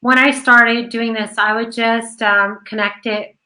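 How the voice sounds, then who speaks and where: Woman, show host, high bright voice, chatty, laughs a lot. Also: fairly even intonation. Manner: chatty, quick, laughs often. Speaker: host of an online radio show. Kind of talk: casual chat.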